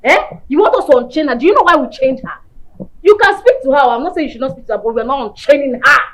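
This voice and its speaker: A bossy, combative young woman with a Nigerian accent, as an actress plays her, scolding the others. Her voice sits a little high and swings lively. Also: normal speed. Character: bossy, quarrelsome young woman among friends.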